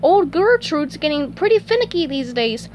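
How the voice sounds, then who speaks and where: A woman voicing a cheerful, eager, childlike male sea-sponge fry cook in a storybook reading, exuberant and affectionate with a sing-song delivery. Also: high-pitched, very animated, normal speed, a little mellow, slight background noise, voice pushed up. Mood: bright, pleasant.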